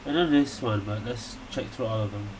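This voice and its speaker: A man giving casual, halting gameplay commentary, mumbling short reactions and reading things off the screen. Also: lowish voice. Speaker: gaming video maker playing along. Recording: very noisy home gameplay microphone.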